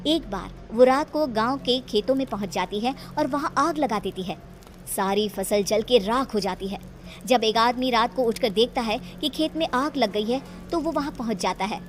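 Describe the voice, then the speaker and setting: A woman narrates a scripted bedtime story as the storyteller. Her voice is fairly high. Music plays softly behind her. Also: moderate pace.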